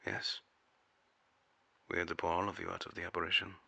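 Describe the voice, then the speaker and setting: Man playing secret agent interviewer, audio drama, very bright sharp edge, gravelly, controlled, official, concerned. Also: low-pitched, level tone, fairly quick, no echo, heavily acted. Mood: probing.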